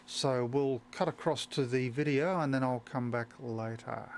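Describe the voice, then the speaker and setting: A man's voice: mid-range voice, unhurried, a little hiss or hum. A man who operates amateur radio, talking off the cuff on a live stream and hesitating often with fillers and restarts. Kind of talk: unscripted live-stream commentary.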